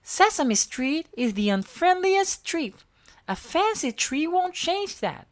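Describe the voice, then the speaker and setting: A woman voicing a guarded young girl with a soft heart in a children's storybook. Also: very high voice, sing-song, takes it slowly, pitched above the performer's own voice, full character voice. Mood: sullen.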